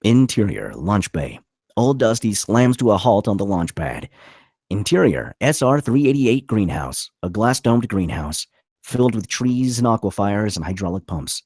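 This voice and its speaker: A man's voice: lowish voice, very animated, normal speed, darkish tone, slightly rough, no room sound, clean studio sound. A man reading scripted stage directions as the narrator of a screenplay.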